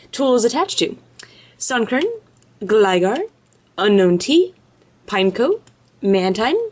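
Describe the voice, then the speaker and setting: Chatty woman listing off card names. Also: on the low side. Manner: chatty, lists card names quickly in a row. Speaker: card-opening video host. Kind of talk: casual commentary while opening card packs. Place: indoors at a table, off camera behind hands.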